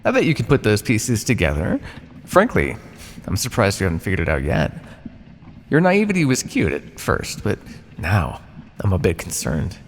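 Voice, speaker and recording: An ancient vampire captor played by a man taunts his prisoner in an ASMR roleplay, smug and patronising. His pitch swings very widely and drops into a gravelly creak. He leaves theatrical pauses, and the voice rings with long reverb. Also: wildly swooping pitch. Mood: smug taunting. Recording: long reverb, noisy background.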